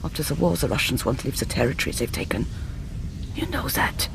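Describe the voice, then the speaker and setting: A ruthless, fervent officer in a radio drama. The voice is gravelly and hushed, with animated, insistent phrasing over noticeable noise. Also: female voice, a bass voice, brisk, exaggerated voice. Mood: urgent, conspiratorial. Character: ruthless, fervent German officer urging a desperate attack.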